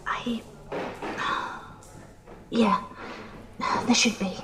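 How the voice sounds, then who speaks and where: A woman's voice: on the high side, steady tone, very slow, sharp tone, slight background noise, heavily acted. An actress voicing a scarred female resistance fighter who talks in an easygoing, wry way and laughs easily. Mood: wary. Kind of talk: audio drama acting.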